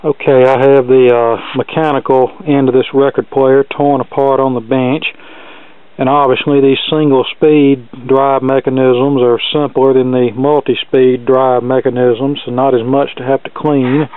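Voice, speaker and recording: A man's voice: medium pitch, level tone, measured pace, smooth, no echo. A man narrating a hands-on repair in a casual, plainspoken, matter-of-fact way, as the host of an electronics repair video. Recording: narrow phone-like lo-fi sound.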